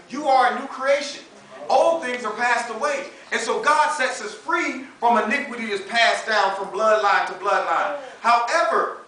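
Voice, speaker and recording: A man's voice: very high-pitched, bright, forceful tone. A man in his thirties with an American accent preaching in an emphatic, colloquial style, full of rhetorical questions and acted-out dialogue. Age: 30s. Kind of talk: animated, conversational sermon. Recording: echoey room, distant mic, faint noise.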